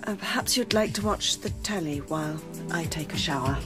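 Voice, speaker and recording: A woman's voice: low voice, a little fast, close to an everyday voice. A woman playing a frank, flirtatious and reassuring British woman in a horror audio drama. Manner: frank, soothing. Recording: music under the voice.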